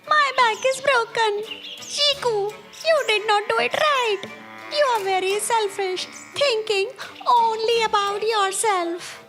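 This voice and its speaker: A woman's voice: very high voice, slow pace, voice pushed up. A woman voicing a hurt young male rabbit in a cartoon story, complaining and reproachful. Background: music.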